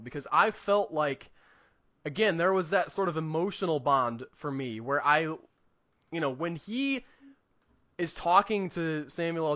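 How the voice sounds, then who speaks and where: A man's voice: a little high, pushed and bright. A man co-hosting a film review podcast, chatting casually in an enthusiastic, emphatic way and rambling as thoughts come. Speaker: podcast co-host reviewing films. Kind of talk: casual movie review chat.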